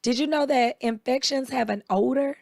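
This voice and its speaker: Raspy woman telling stories animatedly. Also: sits high, normal speed, dry recording, studio quality. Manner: animated storyteller, quotes people in raised voices. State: recovering voice, a bit hoarse. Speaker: co-host. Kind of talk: casual chat.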